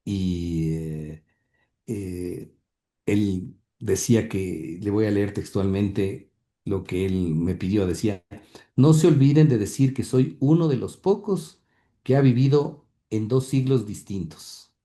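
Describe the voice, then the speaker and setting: Man, low dark mellow voice; earnest, hesitant interviewee with lots of fillers. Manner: halting, many fillers and repeated words; earnest, heartfelt. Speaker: interview guest, grandson and author. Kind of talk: conversational interview, reading quotes aloud.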